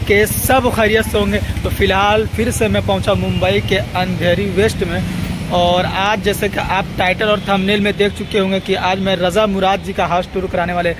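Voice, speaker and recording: A young man greeting viewers and pointing out buildings in a chatty way, filming himself with a phone outdoors on a city street. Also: high-pitched. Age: young adult. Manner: chatty, pointing things out while walking. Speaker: vlogger. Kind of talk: casual vlog greeting to camera. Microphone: phone held at arm's length. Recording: street traffic noise behind.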